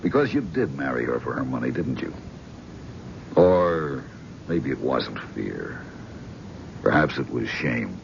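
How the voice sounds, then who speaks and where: A calm, gravelly psychiatrist. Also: male voice, a bass voice, a little hiss or hum, full character voice. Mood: probing. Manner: measured, probing, coolly frank. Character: calm probing male psychiatrist, middle-aged. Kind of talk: 1970s radio drama acting. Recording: dull vintage radio broadcast.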